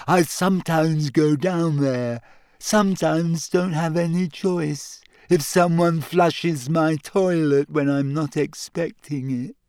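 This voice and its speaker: A man voicing a glum, touchy ghost girl in an audiobook, mournful and self-pitying, turning shrill when upset. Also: fairly even intonation, slow, darkish tone, smooth, no room sound. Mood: smug, chatty. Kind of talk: audiobook character voice.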